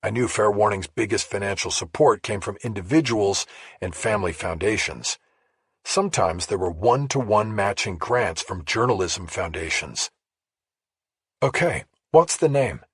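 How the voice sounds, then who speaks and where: A man's voice: lowish voice, moderate pace, a bit bright, slightly rough, dry recording, studio quality. A man reading a first-person crime thriller audiobook as scripted narration.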